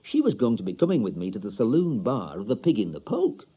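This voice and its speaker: An actor playing a chatty, wistful older Yorkshire bachelor in a radio monologue, colloquial, confiding and droll. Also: male voice, lowish voice, huge pitch swings, fast, mellow, breathy edge, highs cut off, heavily acted. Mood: wry, confiding. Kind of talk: dramatic monologue, radio short story.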